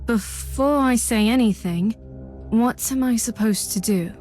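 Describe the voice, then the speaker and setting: Mellow, guarded, troubled young woman , acted. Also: a little high, unhurried, close to an everyday voice. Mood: anxious. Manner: earnest, guarded, dramatic. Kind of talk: scripted video game voice acting. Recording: music behind the voice.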